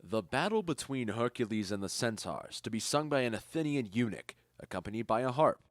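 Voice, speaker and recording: A man's voice: mid-range voice, animated, fairly quick, no room sound, studio quality, natural voice. A man playing a confident, genial Athenian duke in a modernized Shakespeare audio drama, speaking in a self-assured, declamatory way. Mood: curious, amused.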